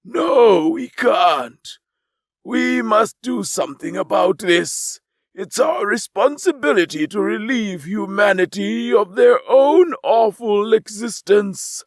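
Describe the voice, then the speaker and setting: A man voicing a pompous, arrogant male alien with a grandiose, drawn-out, mocking manner. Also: sits high, animated, dry recording, voice pushed up, exaggerated voice.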